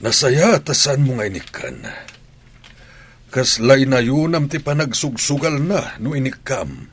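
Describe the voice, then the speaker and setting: A concerned old grandpa in radio drama, super raspy, lively intonation. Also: male voice, medium pitch, measured pace. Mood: advising. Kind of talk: radio drama acting in Ilocano.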